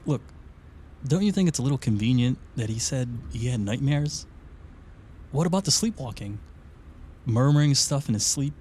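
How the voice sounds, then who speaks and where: A man's voice: medium pitch, animated, moderate pace, pauses often, darkish tone, slight background noise, close to an everyday voice. An actor playing a skeptical, uneasy and blunt man in a naturalistic audio drama. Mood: skeptical, rattled. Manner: blunt, questioning.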